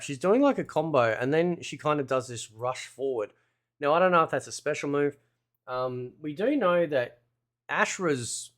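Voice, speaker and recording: A man giving casual, conversational gameplay commentary in a laid-back, slangy way, like a video game content creator. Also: medium pitch.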